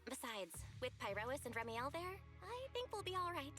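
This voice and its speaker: A woman's voice: high voice, sing-song, normal speed. An actress voicing a young, easygoing and self-assured woman investigator in video game story dialogue. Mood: confident. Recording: game music behind.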